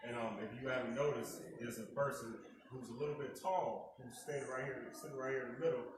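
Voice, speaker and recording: A man's voice: medium pitch, fairly even intonation, a little fast. Casual guy interviewer rambling, lots of you know, echoey mall, far mic, noisy. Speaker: celebrity interviewer. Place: inside a shopping mall.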